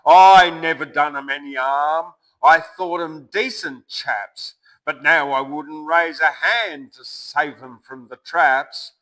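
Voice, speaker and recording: Man acting out huge bushman, Australian accent, aggrieved slangy rant, raised pitch, forceful bright tone, bush poem recital. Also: steady tone, low-quality recording. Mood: defiant, bitter. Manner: loud, aggrieved, drawn-out ranting in bush slang. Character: huge angry swagless bushman, cursing his robbers.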